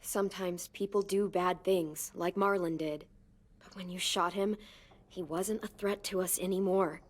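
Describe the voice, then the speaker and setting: A woman playing a tough, protective teenage girl survivor in a dramatic video game, speaking in a sober, measured way. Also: fairly low pitch, steady tone. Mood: gentle, sober. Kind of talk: naturalistic dramatic game acting. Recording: music under the voice.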